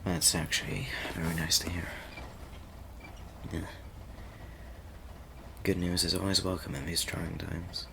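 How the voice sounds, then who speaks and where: A man playing an easygoing, teasing young guy sweet on his friend, talking casually and haltingly in an audio roleplay. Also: low voice, little pitch movement, gravelly, noisy background. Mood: relaxed, friendly. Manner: halting and stammering, chuckling, casual slangy speech.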